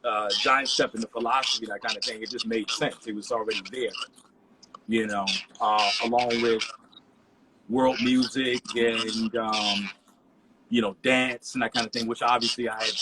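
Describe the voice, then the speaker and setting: A man's voice: medium pitch, steady tone, moderate pace, background noise. A man, a resident DJ, telling stories in a loose, rambling way full of "you know" over a video call. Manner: laid-back, rambling, many "you know" fillers. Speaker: resident DJ guest. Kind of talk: casual storytelling interview.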